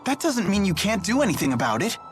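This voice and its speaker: A woman's voice: medium pitch, big pitch swings, fast talker, natural voice. A woman voicing a kind, earnest, hopeful teenage boy in a video game. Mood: earnest, curious. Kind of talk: English video game voice acting. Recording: background music under the voice.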